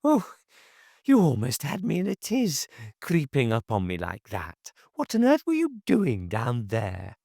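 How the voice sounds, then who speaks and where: A man voicing a nosy, bustling older English housekeeper in a high, reedy, loud voice for an audiobook. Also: huge pitch swings, unhurried, gravelly, no room sound, studio quality, exaggerated voice. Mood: startled, flustered. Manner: shrill, gossipy, indignant chatter. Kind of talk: audiobook character voice.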